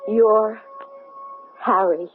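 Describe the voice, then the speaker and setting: A woman's voice: mid-range voice, fairly even intonation, very slow, phone-quality sound, soft background music. An actress playing an anxious woman in a 1970s radio drama. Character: Margot, anxious woman freed from an alien. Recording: thin band-limited 1970s radio broadcast.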